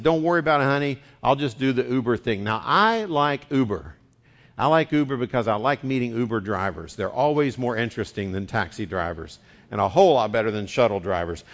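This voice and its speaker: A man's voice: mid-range voice, a bit bright, highs cut off. A man from West Virginia preaching a sermon and telling a story in a chatty, folksy way. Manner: folksy and chatty, repeats words when starting sentences. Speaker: pastor.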